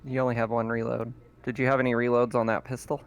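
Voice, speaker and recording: A man's voice: mid-range voice, little pitch movement, fast talker, clean tone. A man talking casually as a player in a tabletop roleplaying game.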